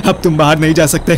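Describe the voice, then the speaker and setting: A man's voice: on the high side, a little hiss or hum, pitched above the performer's own voice. A man voicing a murderous, axe-wielding fiend who gloats and threatens in a horror audio drama. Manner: slow, menacing, cackling threats.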